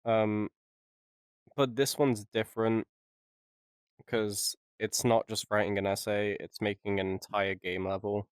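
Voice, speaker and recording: A young man with a lowish voice trades self-mocking jokes on a podcast, laughing often as he talks. The sound is dry and close, with no room around it. His delivery is loose and casual. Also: little pitch movement, a little slow, long pauses, clean tone, no room sound. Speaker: podcast co-host. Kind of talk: casual chat.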